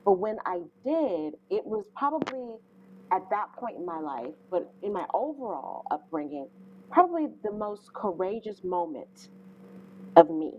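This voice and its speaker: A woman's voice: medium pitch, sing-song, measured pace, highs cut off, slight background noise. A woman co-hosting an interview, speaking earnestly and thoughtfully with a big voice and many fillers. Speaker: co-host. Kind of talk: interview questions.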